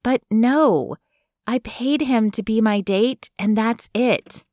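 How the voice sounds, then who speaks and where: A woman voicing a guarded young woman in an audiobook, speaking in a high, insistent tone. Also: slow pace, darkish tone, dry recording, studio quality.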